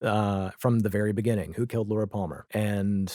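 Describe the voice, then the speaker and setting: A man speaking as a podcast guest in a casual pop-culture discussion, with halting asides as he lists things. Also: fairly low pitch, fairly even intonation, warm tone, dry recording, clean studio sound.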